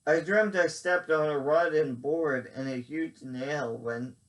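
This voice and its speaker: Male reader as Jean Sot: gloomy, simple Cajun guy, slow and earnest. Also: fairly high pitch, far from the mic, poor audio.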